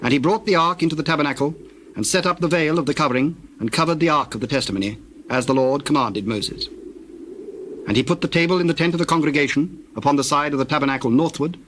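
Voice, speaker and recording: A man narrating scripture in a steady, measured reading voice. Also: fairly high pitch, a little hiss or hum.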